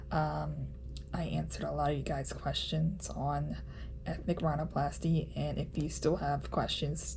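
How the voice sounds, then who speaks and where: A woman giving a casual vlog update in a nasal voice, chatty and halting with frequent ums. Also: sits low, creaky, mellow, a little hiss or hum, low-quality recording. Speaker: vlogger.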